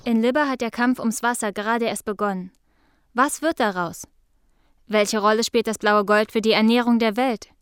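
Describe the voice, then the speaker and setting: An actress playing an earnest reporter, giving scripted documentary-style narration in a radio drama. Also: female voice, a little high, normal speed, close to an everyday voice. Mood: earnest, dramatic.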